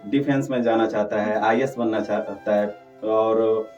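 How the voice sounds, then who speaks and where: A man's voice: on the low side, steady tone, unhurried, darkish tone, lo-fi sound. A man hosting a radio interview and putting questions to a guest. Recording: distant mic with background music.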